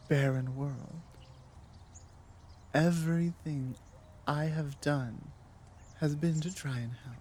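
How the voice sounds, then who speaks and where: A woman playing a proud, ancient dragon scholar burdened by guilt in an ASMR audio drama, speaking with commanding deliberation and halting dramatic pauses. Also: low-pitched, warm tone, a little hiss or hum.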